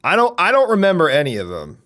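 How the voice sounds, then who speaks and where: A loud, brash young man with a deep voice, chatting on a live stream. His pitch leaps and drops all the time, from near-shouts down to low mutters. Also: wildly swooping pitch, fast. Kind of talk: casual live-stream chat.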